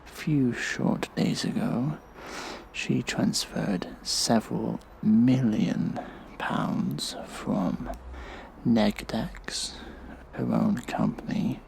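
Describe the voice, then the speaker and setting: Man acting as a menacing hacker, crackling fry-heavy voice, drawn-out hushed delivery, theatrical, audio drama. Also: on the low side, exaggerated voice. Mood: smug, menacing. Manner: hushed, breathy, halting, mock-playful. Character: smug, theatrical male hacktivist vigilante, mischievous and menacing.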